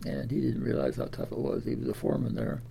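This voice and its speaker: A man's voice: medium pitch, lively intonation, fast, vocal fry, dark tone, slight background noise. A man of ninety from Iowa, telling his life story in an oral history interview, rambling with many fillers and restarts. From Des Moines, Iowa. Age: 90s.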